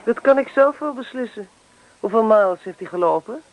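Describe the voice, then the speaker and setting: An actress playing a brisk, headstrong woman from Canada in a Dutch radio play, curt and assertive with everyone. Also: a little high, lively intonation, close to an everyday voice, rough recording. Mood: curt, determined.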